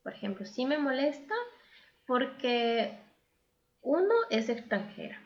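A woman's voice: a little high, lively intonation, takes it slowly, highs cut off. A young adult woman living abroad, speaking candidly and thoughtfully in a casual interview, with many fillers. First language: not German. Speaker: interview guest.

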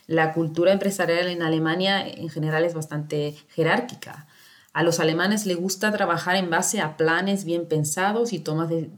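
A woman with a fairly low voice talks through a topic in a relaxed interview. She explains step by step, often adding fillers and tag questions. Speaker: guest. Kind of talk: casual interview talk.

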